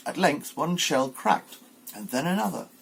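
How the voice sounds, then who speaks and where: A man's voice: on the high side, expressive, a little slow, gravelly, a bit bright, slight background noise. A man narrating a children's fairy tale expressively as a volunteer reader.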